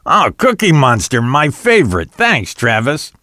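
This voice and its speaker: A man's voice: mid-range voice, wildly swooping pitch, measured pace, pushed and bright, dry recording, clean studio sound, voice pushed up, full character voice. A man voicing a sly, childish demon with a wheedling, mock-innocent manner in a storybook narration. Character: childish sly demon, falsely sweet, man-eating and menacing.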